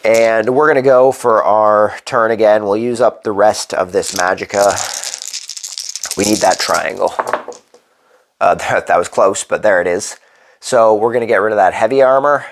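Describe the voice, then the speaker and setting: A man's voice: fairly low pitch, normal speed. A congested man hosting a solo board game playthrough, thinking aloud as he works through the rules and dice rolls. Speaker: board game channel host.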